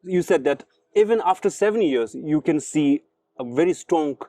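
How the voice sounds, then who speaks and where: A man hosting a podcast puts careful, polite questions to his guest, building them slowly with small pauses. His tone is mellow and warm rather than bright. The sound is dry and close to the mic. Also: a little high, lively intonation, no room sound. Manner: polite, frequent soft backchannel murmurs. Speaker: podcast host. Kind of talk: interview questions.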